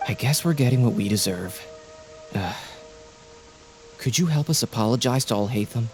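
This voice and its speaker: A man's voice: medium pitch, expressive, moderate pace, a little mellow, faint music behind, lightly acted. A man voicing a remorseful male academy scholar who speaks in an anxious, apologetic way. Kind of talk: game voice acting.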